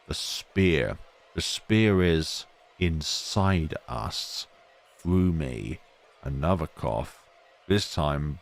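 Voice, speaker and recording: Low-pitched man reading a story aloud. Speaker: video game let's player. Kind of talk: reading a horror visual novel aloud with casual commentary.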